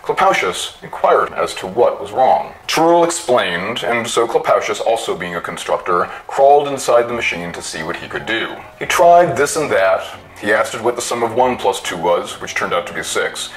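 Low-voiced man reading a story dramatically. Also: animated, rough recording. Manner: theatrical, deliberate emphasis. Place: small indoor room with bookshelves.

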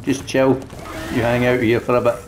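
A man's voice: mid-range voice, flat delivery, normal speed, noisy background. Crude joking Glaswegian man. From Scotland. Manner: crude, joking, talks to himself and viewers. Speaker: gameplay streamer. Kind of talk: casual let's-play commentary.

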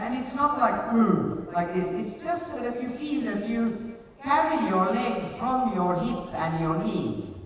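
A middle-aged woman working as a riding coach, explaining and demonstrating in a hands-on way at a live clinic. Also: mid-range voice. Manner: hands-on, explanatory, repeating short commands. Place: large indoor riding arena. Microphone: picked up from far camera in audience. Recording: distant, very echoey arena, background noise.

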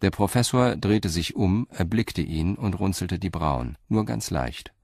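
A man narrating a radio crime play in a calm, measured storytelling manner. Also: deep voice, creaky, dry recording, clean studio sound. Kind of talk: scripted audio drama narration.

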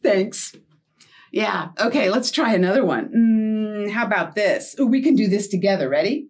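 A middle-aged woman hosting a storytime for young children, talking in an animated way with simple questions and playful surprise. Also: mid-range voice, normal speed, breathy edge. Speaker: children's librarian and storytime host. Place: small indoor set with felt board and book cart.

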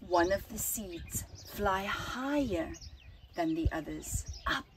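A middle-aged woman reading a children's picture book aloud outdoors, phrasing deliberately with dramatic emphasis and hushed words. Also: mid-range voice, expressive, background noise. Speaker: storytime reader. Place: outdoors at a flower farm. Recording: outdoor ambience with birdsong.

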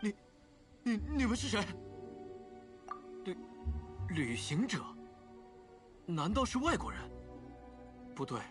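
Scared rookie undertaker: stammering, panicky, wildly varied pitch, game music underneath. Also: male voice, big pitch swings, takes it slowly, pauses often, heavily acted. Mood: frightened. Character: terrified, timid young male undertaker. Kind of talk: anime game voice acting.

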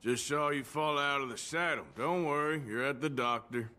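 An actor playing a gruff but caring cowboy in a western video game, plain-spoken and reassuring. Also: male voice, mid-range voice, moderate pace, close to an everyday voice. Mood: subdued. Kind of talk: video game drama acting. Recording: loud outdoor game ambience, horse hooves.

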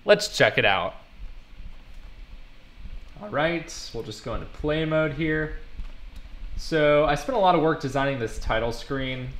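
A man's voice: a little high, lively intonation, a little slow, long pauses, a bit bright. A young man narrating a casual vlog in a wry, self-deprecating way. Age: young adult. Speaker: vlogger, game developer.

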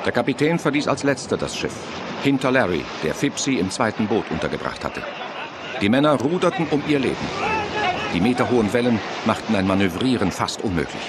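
A man's voice: fairly low pitch, sing-song, brisk, heavy background noise. A man narrating a horror radio play in a dramatic scripted style, with music and effects behind him.

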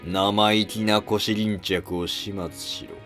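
A man's voice: lowish voice, flat delivery, slow pace, a bit bright, faint music behind. A man voicing a haughty, defiant warlord of ancient Japan in a historical drama, with blunt, commanding archaic speech. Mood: stern command.

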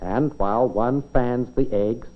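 A man's voice: mid-range voice, a little slow. An American man reads scripted 1950s educational film narration, explaining things simply like a storyteller for children. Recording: dull, band-limited 1950s film soundtrack.

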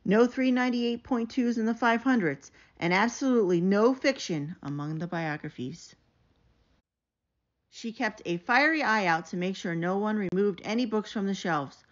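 Female storybook reader, middle pitch, animated emphatic delivery, dull lo-fi sound. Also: normal speed. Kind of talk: expressive read-aloud of a children's picture book.

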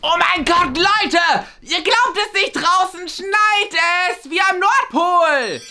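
A man's voice: very high-pitched, slow pace, music in the background, exaggerated voice. A young man playing a naive, exuberant, childlike schoolboy in a comedy sketch. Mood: overjoyed. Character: Bibo, excitable childlike schoolboy who loves snow. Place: indoors, small classroom.

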